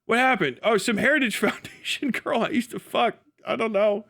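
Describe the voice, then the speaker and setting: A man's voice: very high voice, normal speed, vocal fry, fairly bright tone. A man hosting a livestream, reading aloud and riffing in a casual, incredulous, foul-mouthed way. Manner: acts out mocking imagined dialogue. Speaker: livestream host and commentator.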